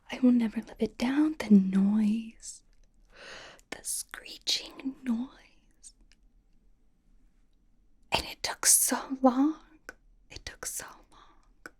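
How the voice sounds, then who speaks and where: A woman playing a bubbly, chatty young female K-pop idol in an ASMR roleplay, rambling casually and confidingly in a breathy whisper. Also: on the high side, drawn-out words, pauses often, a little mellow, exaggerated voice. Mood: embarrassed, confiding. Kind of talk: ASMR personal attention roleplay.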